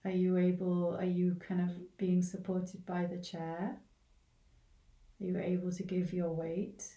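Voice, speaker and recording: A woman leading a guided body scan meditation in a gentle, unhurried way, leaving long pauses between short phrases. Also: fairly low pitch, completely flat delivery. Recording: roomy, echoing, distant from the mic.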